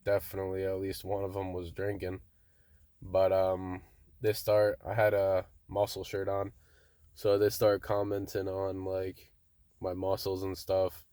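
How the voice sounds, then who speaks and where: A young man casually telling a story to his viewers in a monotone, laid-back way, often saying "bro". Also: low voice, unhurried, smooth, dry recording, clean studio sound. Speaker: vlogger.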